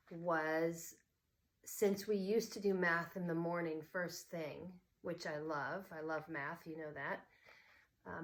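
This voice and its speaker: A woman's voice: fairly low pitch, a bit bright. A woman teacher who talks informally to her students and reads stories aloud expressively. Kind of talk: casual talk and expressive read-aloud.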